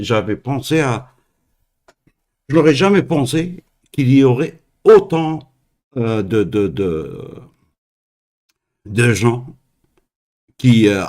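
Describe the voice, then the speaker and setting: Male call-in host from Algeria; unhurried, halting delivery full of fillers. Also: medium pitch, darkish tone. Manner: hesitant, halting, many fillers and restarts. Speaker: host of a live call-in stream. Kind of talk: live call-in talk.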